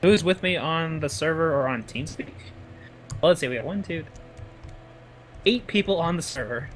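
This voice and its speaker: Brief: fellow player, man, a bit high-pitched, light noise behind. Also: lively intonation, moderate pace, a bit bright. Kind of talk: casual chat.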